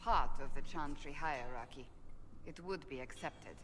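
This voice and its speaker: An actress playing a stern, dignified woman of high religious standing, speaking formally in a video game. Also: fairly bright tone, echoey room. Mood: stern, measured. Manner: formal, measured. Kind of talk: dramatic video game dialogue.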